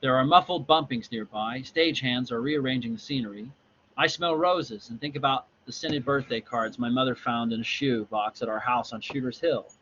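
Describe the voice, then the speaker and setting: A man's voice: medium pitch, moderate pace, a bit bright, lightly acted. Dreamy, sorrowful young woman narrator, performed by a man, dramatic storybook delivery, slightly dull audio with faint noise. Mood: dreamy, wistful. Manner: dramatic reading aloud.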